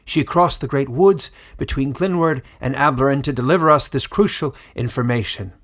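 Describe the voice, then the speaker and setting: A man's voice: medium pitch, normal speed, a bit bright, pitched above the performer's own voice, close to an everyday voice. A man voicing a solemn, self-righteous old village elder who makes formal speeches in a fantasy story. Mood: fawning.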